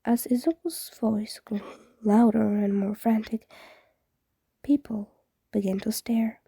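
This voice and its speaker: A woman's voice: on the high side, steady tone, takes it slowly, creaky, warm tone, breathy edge, dry recording, clean studio sound. A woman reading fan fiction aloud in an audiobook-style narration.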